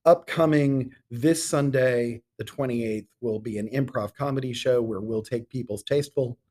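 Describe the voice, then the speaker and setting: A man's voice: medium pitch, moderate pace, darkish tone. A middle-aged man speaking as a theatre director being interviewed on a podcast, articulate and enthusiastic, with ornate turns of phrase.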